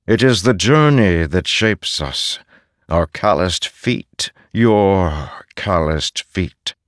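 A deep, haunting spirit of solemn wisdom, played by a male narrator, from a fantasy audiobook. It speaks very slowly and deliberately, weighing each phrase in a close, dry sound. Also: no room sound, heavily acted. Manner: slow, deliberate, measured pronouncements.

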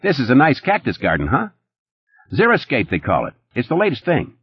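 A man voicing a jittery, boastful, sleazy young playboy who talks fast and nervous, his voice pitched well above his own. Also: wildly swooping pitch, breathy edge, no room sound, lo-fi sound. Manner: rapid, nervous, boastful, pitched high and pushy.

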